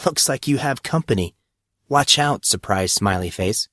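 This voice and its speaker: A man's voice: mid-range voice, lively intonation, normal speed, fairly bright tone, no room sound, clean studio sound. Man voicing a teasing, unsure teenage schoolboy. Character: self-doubting, teasing fourteen-year-old schoolboy. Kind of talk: audiobook character dialogue.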